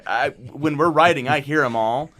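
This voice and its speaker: A man's voice: on the high side, moderate pace, a bit bright. A man chatting casually and animatedly as a guest in an interview. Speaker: show creator, director and actor, interview guest.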